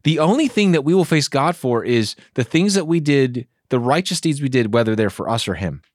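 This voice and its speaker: A man's voice: mid-range voice, a little fast, no room sound, clean studio sound. A man, a pastor, talking earnestly and with animation in a casual theology discussion. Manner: earnest, animated, acts out inner voices.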